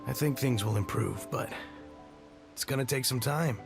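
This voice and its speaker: An actor playing a friendly, loyal soldier who talks in a warm, earnest, chatty way. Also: male voice, mid-range voice, moderate pace, creaky, music in the background, natural voice.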